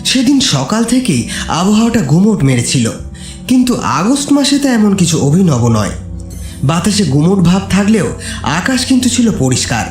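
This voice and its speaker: Expressive male horror story narrator. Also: high-pitched, moderate pace. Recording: echoey, with music underneath. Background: music.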